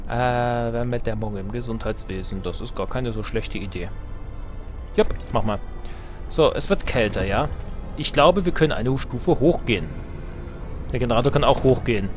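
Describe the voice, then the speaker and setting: A man's voice: medium pitch, a little mellow. A man hosting a let's play, commenting casually on the game with self-ironic, playful asides and reading game text aloud. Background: game music.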